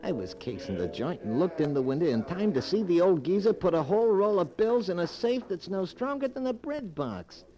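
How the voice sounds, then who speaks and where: An American actor playing a cocky, bullying small-time crook in a 1940s radio thriller, talking in tough, insistent slang. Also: male voice, high voice, big pitch swings, a little fast, few pauses, mellow. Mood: persuasive, bullying. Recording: old 1940s radio recording, music behind.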